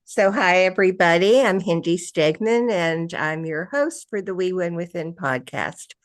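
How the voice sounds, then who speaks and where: A woman's voice: on the low side, a little slow, dry recording. A woman hosting a podcast interview, asking questions in a chatty way with fillers. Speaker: podcast host.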